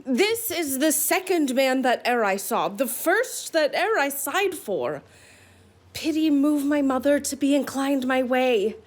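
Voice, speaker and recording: An actress playing an innocent, wonderstruck young maiden, quick to love, in a Shakespearean audio drama. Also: female voice, high voice, expressive. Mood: pleading.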